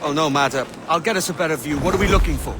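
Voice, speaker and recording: A man's voice: on the high side, rapid-fire, background noise, natural voice. A man acting a roguish young pirate sailor in a video game, with a Welsh accent and a breezy, wry, cheeky manner. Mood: breezy, confident.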